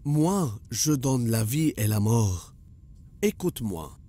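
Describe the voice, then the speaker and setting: A man's voice: mid-range voice, big pitch swings, takes it slowly, dark tone. A man playing an arrogant, menacing tyrant king in a religious cartoon, imperious and commanding. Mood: boastful, menacing. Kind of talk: dubbed religious cartoon acting.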